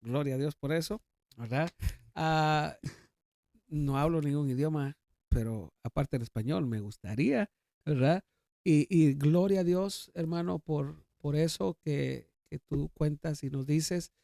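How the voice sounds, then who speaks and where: Creaky-voiced Guatemalan man hosting. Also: a little high, a little slow, mellow, no room sound, clean studio sound. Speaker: podcast host. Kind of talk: casual interview chat.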